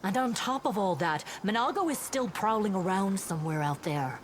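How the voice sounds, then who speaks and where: An actress playing an earnest, dutiful female paladin officer in a fantasy game, speaking in a formal, measured reporting manner. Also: medium pitch, animated, a little hiss or hum, lightly acted. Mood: formal, composed. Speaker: game character reporting to a commander. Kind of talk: voice-acted fantasy game dialogue. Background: music.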